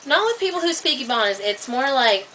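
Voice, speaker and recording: A woman vlogging in a casual, rambling monologue to camera, full of "like" and "um", getting animated and high when worked up. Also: a little fast. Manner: chatty, filler-heavy, many "like" and "um" restarts. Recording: distant webcam mic with faint hiss.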